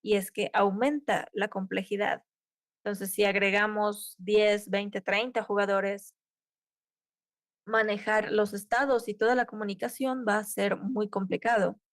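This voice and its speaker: A woman's voice: mid-range voice, level tone, a little slow, dry recording. A woman interpreting a conference tech talk live, keeping pace with occasional cut-off restarts. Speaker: simultaneous interpreter.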